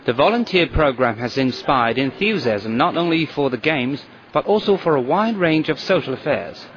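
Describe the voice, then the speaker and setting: A man narrating a television news report in a measured, even scripted delivery. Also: on the high side. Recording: dull lo-fi broadcast audio with crowd and street noise.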